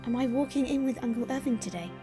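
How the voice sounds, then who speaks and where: An actress playing a polite, dutiful young granddaughter in an audio drama. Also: female voice, a little high, fast talker, over music, close to an everyday voice. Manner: polite, obedient.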